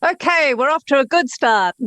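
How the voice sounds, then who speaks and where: A woman talking casually and reflectively as an interview guest, haltingly thinking aloud. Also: sits high, lively intonation, fairly bright tone, no echo.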